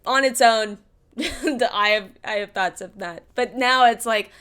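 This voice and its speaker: A woman's voice: on the high side, a little slow, sharp tone, clean tone. A woman hosting a podcast, chatting casually about a tabletop game campaign. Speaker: podcast host.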